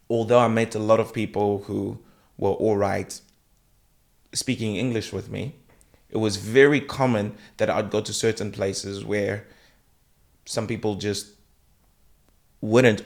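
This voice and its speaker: A man hosting a podcast, chatting in a playful way. He laughs easily and often restarts his sentences. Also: lowish voice. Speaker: podcast host. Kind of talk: casual chat.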